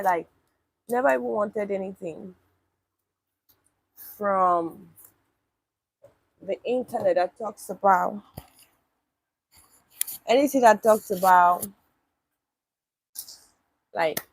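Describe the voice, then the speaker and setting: A woman's voice: medium pitch, slightly rough, no room sound, poor audio. A young woman, live-streaming casually and answering chat comments bluntly, with swearing. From Nigeria.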